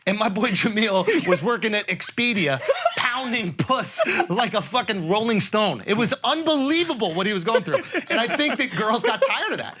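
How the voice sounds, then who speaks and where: A man's voice: high-pitched, lively intonation, normal speed, heavy background noise. A man hosting a casual comedy podcast, talking in an emphatic, profane, slangy way. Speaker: podcast host.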